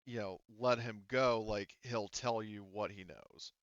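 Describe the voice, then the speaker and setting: A man's voice: fairly low pitch, fairly even intonation, measured pace, clean tone, dry recording, muffled recording. A man acting as game master, casually explaining and clarifying details to his players.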